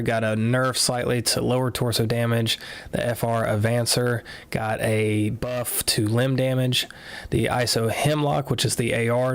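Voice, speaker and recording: A man casually walking through game patch notes live, a little nasal after a cold, talking in a relaxed way with lots of fillers. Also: medium pitch, no echo. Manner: relaxed, filler-heavy, offhand commentary. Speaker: gaming content creator.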